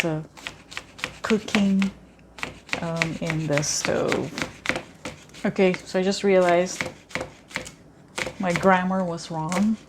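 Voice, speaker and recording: A woman from the Philippines, a Tagalog speaker at heart, talks her way through a recipe at an unhurried pace, pausing on fillers as she thinks. Her voice sits a little low, and pots, pans and cutlery clatter clearly around her in a home kitchen. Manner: chatty, thinking aloud with many fillers. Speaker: cooking vlogger. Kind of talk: casual cooking demonstration. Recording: clear kitchen noise behind.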